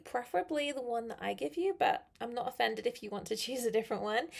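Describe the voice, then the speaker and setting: A young woman teaching on a live stream, her voice a little croaky, chatty and friendly, slowing down to stress key phrases. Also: high voice, fairly bright tone. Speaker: English teacher. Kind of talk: live-stream English lesson.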